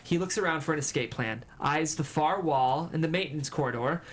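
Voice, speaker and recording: A man's voice: on the high side, fairly quick. A man reading stage directions as the narrator of a screenplay table read. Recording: faint room noise.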